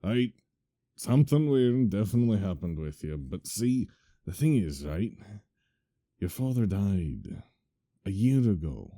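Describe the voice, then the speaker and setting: A man playing a plain-spoken, folksy older companion, hesitant and drawn-out, in an audio drama. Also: fairly low pitch, sing-song, dark tone. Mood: uneasy, careful.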